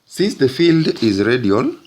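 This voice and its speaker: A man teaching physics, narrating an explanatory lesson in deliberate, halting phrases with frequent breaks. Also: mid-range voice, very animated, a little mellow.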